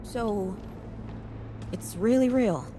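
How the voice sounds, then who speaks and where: Game role: weary, skeptical young woman, high voice, very slow and halting delivery. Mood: doubtful. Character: weary, skeptical, stubborn young female refugee. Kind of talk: video game cutscene acting.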